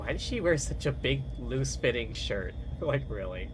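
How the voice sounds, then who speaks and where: A man's voice: mid-range voice, lively intonation, moderate pace, noisy background. A man chatting casually as he streams a video game, commenting on what he does. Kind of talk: casual play-by-play chat while gaming.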